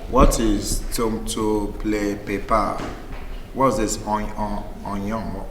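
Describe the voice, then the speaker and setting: A man playing a puzzled man reading a list, speaking slowly. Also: on the low side, little pitch movement, far from the mic, background noise. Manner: slow and puzzled. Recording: roomy echo, music behind.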